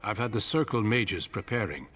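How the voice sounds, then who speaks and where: An actor voicing a grave older male warden commander in a video game, speaking in a solemn, measured way. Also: fairly low pitch, expressive, fairly bright tone, husky. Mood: stern.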